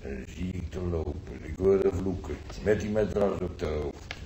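An actor playing a nostalgic, gruff old war veteran grandfather in a radio drama, telling his memories haltingly, repeating himself in earthy colloquial words. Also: low-pitched, mellow, close to an everyday voice. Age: older. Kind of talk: radio drama acting, reminiscing monologue. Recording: roomy old radio play, faint noise.

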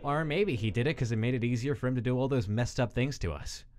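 A man playing a wary, skeptical young skeleton boy in an animated comic dub, casual, hesitant and dryly guarded. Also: mid-range voice, fast, a little hiss or hum, lightly acted. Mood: bitter, resigned.

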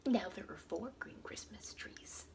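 A woman in her thirties hosting a storytime, talking expressively and deliberately to small children. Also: mid-range voice, expressive, vocal fry, in a whisper. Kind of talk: expressive picture-book storytime reading for young children. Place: small indoor room at home.

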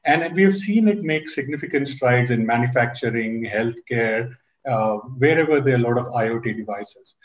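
Restless panelist in a roomy room. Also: male voice, medium pitch, normal speed. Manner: enthusiastic, many repeated restarts. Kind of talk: panel discussion on a video call. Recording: roomy video-call audio.

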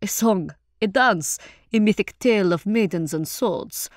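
Woman voicing a warm, hearty minstrel man. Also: medium pitch, measured pace, no echo, clean studio sound, natural voice. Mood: welcoming. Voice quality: warm, rich voice. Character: big hearty warm male minstrel leader, playfully boastful.

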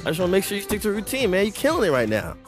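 Young male comic sidekick for a basketball game: medium pitch, goofy, playful, clingy, rambling slang. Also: wildly swooping pitch, rapid-fire, music in the background. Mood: playful, pestering. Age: young adult. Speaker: rookie teammate. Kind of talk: comedic video game cutscene acting.

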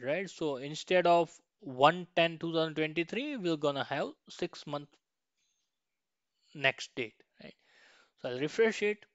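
A man giving an informal step-by-step software walkthrough, often checking in at the end of a point. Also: on the high side, no echo, lo-fi sound. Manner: frequently ends points with a questioning "right". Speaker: software tutorial instructor. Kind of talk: screen-share tutorial walkthrough, informal step-by-step.

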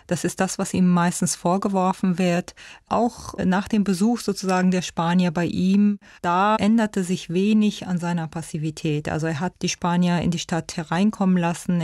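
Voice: medium pitch, a little mellow, no echo, studio quality. An expert commentator explaining history in spontaneous interview talk.

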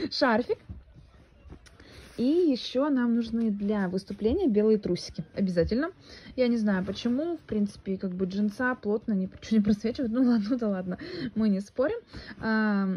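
A woman's voice: fairly high pitch, lo-fi sound, slight background noise. A young woman chatting casually into her phone at home, rambling with lots of fillers and restarts. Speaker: vlogger, mother. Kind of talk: casual home vlog chat. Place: room at home, indoors. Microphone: phone at arm's length.

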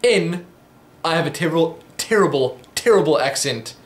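Young man, twenties, South African English accent, slightly high voice, rambling casual vlog delivery, webcam in an echoey room. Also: lively intonation, moderate pace. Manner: rambling, chatty, frequent ums and restarts. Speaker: vlogger answering viewer questions. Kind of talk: casual Q&A monologue. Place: small home office room. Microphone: webcam at desk.

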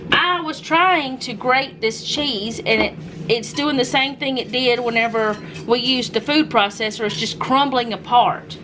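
Home vlogger, woman, slightly high voice, relaxed think-aloud delivery, noisy kitchen recording. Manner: chatty, thinking aloud while cooking. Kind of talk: casual cook-with-me narration.

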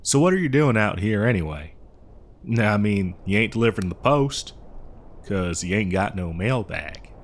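A dim but good-natured cowboy, acted by a man, with a lowish voice and a folksy American Western drawl. He rambles and hesitates his way through each thought, as in an improvised comedy audio roleplay. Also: expressive, normal speed. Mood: easygoing, weary. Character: dim, good-natured cowboy mail rider lost in badlands.

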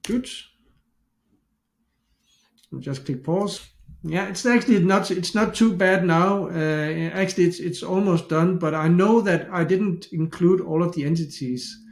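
Middle-aged Danish-accented man, fairly high voice, chatty tutorial host who restarts his sentences; echoey home office. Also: measured pace, vocal fry. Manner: chatty, self-interrupting, thinks aloud with restarts. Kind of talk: casual screen-share tutorial. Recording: echoey room.

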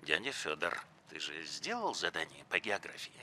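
A man playing an easygoing, gently ironic father in a children's cartoon, with an expressive, wry delivery. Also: low voice, normal speed, a little hiss or hum, full character voice. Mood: questioning.

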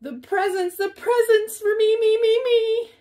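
A woman voicing a greedy, bratty male pug dog in a children's storybook, shouting shrilly and demanding things. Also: very high voice, steady tone, measured pace, pitched above the performer's own voice. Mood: greedy glee. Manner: demanding, whining, emphatic. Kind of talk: children's storybook read-aloud.

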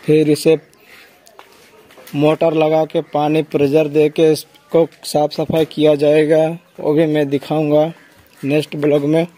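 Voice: medium pitch, completely flat delivery, measured pace, dark tone. A vlogger narrating casually and plainly to viewers, repeating points while explaining. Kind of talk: casual vlog narration, talking to viewers and a child. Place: large open-sided empty poultry shed. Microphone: handheld phone camera, operator behind it. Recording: noisy phone recording in open farm shed.

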